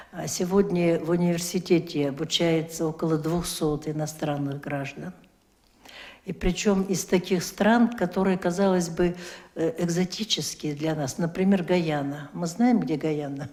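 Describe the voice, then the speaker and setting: A woman's voice: on the low side, darkish tone. A woman university lecturer speaking at a round-table discussion, explaining her points and asking rhetorical questions. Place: media center.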